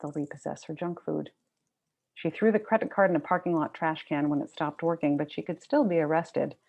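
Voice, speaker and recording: A woman's voice: sits low, fairly even intonation, a little fast, vocal fry, a little mellow, low-quality recording. A woman novelist reading her own literary fiction to an audience at a book event.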